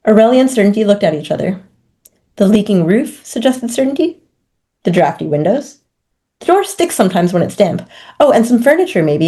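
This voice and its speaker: Female author reading fiction, very mellow, medium-pitched voice. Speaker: author reading from own book. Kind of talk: scripted fiction reading with dialogue. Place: indoors, on a video call.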